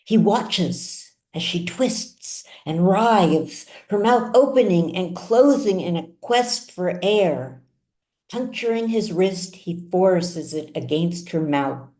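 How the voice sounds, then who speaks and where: A woman reading her own fiction aloud as scripted audiobook narration, with measured, deliberate phrasing and dramatic pauses. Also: lowish voice, animated, a little mellow, roomy sound. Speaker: author reading her own serialized novel.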